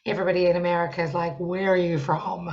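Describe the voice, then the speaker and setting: A young woman with a New Zealand accent, a guest talking in a loud, lively way with frequent laughs. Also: on the low side, quick pace, lo-fi sound, very noisy. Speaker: podcast guest, songwriting coach. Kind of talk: casual interview chat.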